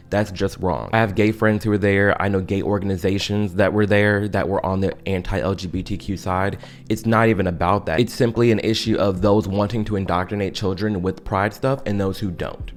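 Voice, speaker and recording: A young man giving emphatic, opinionated commentary straight to his viewers. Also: low-pitched. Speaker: video commentator. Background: music.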